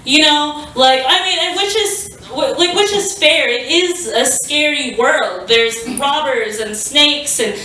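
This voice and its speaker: A woman's voice: high-pitched, slow pace, hardly any pauses, sharp tone. A young adult woman doing a stand-up set, rambling and self-deprecating with lots of filler words and restarts. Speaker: stand-up comedian. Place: small venue stage. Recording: echoey room, mic from a distance.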